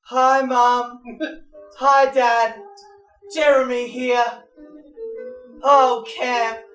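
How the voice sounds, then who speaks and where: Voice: mid-range voice, little pitch movement, very slow, fairly bright tone, reverberant, soft background music, poor audio. A woman voicing a cheery puppet kid at camp in a sing-song way, in an improvised comedy show. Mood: gushing.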